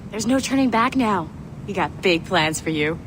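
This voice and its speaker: A woman's voice: mid-range voice, lively intonation, normal speed, background noise, lightly acted. A woman playing a brash, mocking corporate enforcer in a video game, talking in an informal, cocky way.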